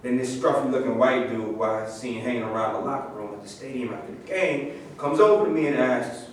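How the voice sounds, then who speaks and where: A man's voice: mid-range voice, a little fast, close to an everyday voice. A man in a staged reading, playing a proud, indignant former football star recounting his grievances. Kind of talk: staged reading of a play, dramatic monologue. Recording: echoey room from far off, faint noise.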